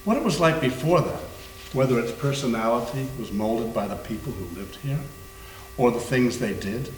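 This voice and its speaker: A man playing a formal, grave male doctor with a measured, portentous manner in a staged reading. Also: mid-range voice, lively intonation, close to an everyday voice. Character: formal, grave doctor, welcoming host and lecturer. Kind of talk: staged readers theater. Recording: echoing room with hum.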